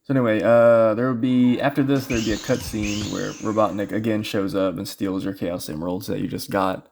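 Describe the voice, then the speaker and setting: A man's voice: fairly low pitch, fairly even intonation, a little fast, vocal fry, a little mellow, a little hiss or hum. A man giving casual, rambling commentary as he plays through a video game, thinking aloud. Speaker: speedrun player and commentator. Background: video game music.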